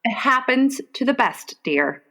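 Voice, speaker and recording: A woman playing a patient, soothing, long-suffering wife in a comic radio play, gentle and placating. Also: sits high, big pitch swings, measured pace, gravelly, full character voice. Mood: gentle concern. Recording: noisy remote home recording with clicks.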